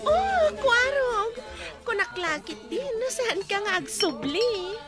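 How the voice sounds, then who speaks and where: Coaxing flirt, very squeaky, lilting, Ilocano Filipino accent, comedy drama, prominent music behind. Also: female voice, very animated, slow, full character voice. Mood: coy. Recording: loud music under the voice.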